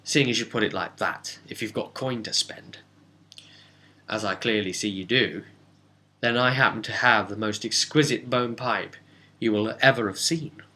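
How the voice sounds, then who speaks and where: A man voicing a coy, haggling fantasy trader in a tabletop game, with a measured salesman's manner. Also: on the low side, pushed and bright. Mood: sly, coy. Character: sly, haggling male fantasy trader aboard a ship.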